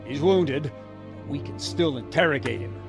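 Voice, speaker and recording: An actor playing a blunt guard captain in a video game cutscene, briskly reporting news. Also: male voice, a little high, vocal fry, music in the background. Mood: grim.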